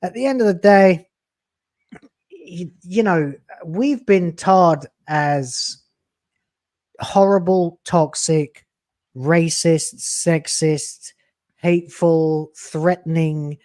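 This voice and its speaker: A man's voice: a little high, extremely slow, darkish tone, no room sound, studio quality. A man in his late thirties, a YouTuber talking in a casual podcast chat, blunt and profane, with emphatic swings in delivery. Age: late 30s. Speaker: YouTuber guest.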